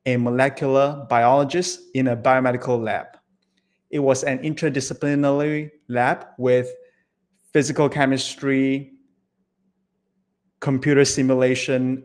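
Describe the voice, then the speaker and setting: Malaysian-born man presenting on a video call. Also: mid-range voice. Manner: friendly, rambling with many fillers. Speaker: guest presenter. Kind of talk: online presentation.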